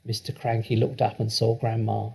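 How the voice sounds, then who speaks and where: A man reading a children's story aloud in an expressive, deliberate, dramatic storytelling manner. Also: on the low side, vocal fry, husky. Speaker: storybook reader.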